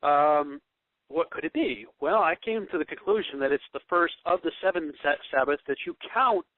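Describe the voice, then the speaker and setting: A man talks through scripture in an unhurried, thinking-aloud way, pausing and restarting as he works out his points. His voice sits a little higher than most men's. The recording is thin and phone-like, with a dry, close sound. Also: expressive, no echo. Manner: thinking aloud, searching for words. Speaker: bible teacher. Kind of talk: informal recorded bible study talk.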